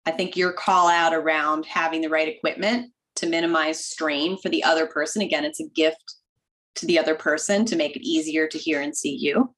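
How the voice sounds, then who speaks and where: A woman in her forties, a doctor guest on a video call, explaining practical tips in a clear, instructive way through wired earbuds. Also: low voice, steady tone, a little fast, a bit bright, poor audio. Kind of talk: interview answers, practical tips. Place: home office with bookshelf.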